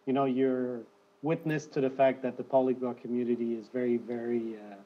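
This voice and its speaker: A man's voice: mid-range voice, little pitch movement, fairly quick. A man hosting an interview, hesitant and full of fillers and restarts. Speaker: host. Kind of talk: casual interview. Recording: dull lo-fi sound.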